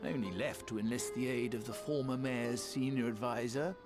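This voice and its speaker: An actor voicing a flustered, well-meaning gentleman in a video game, stammering and blustering in formal, wordy speech. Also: mid-range voice, fairly quick, over music, lightly acted. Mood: blustering, earnest. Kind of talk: video game character acting.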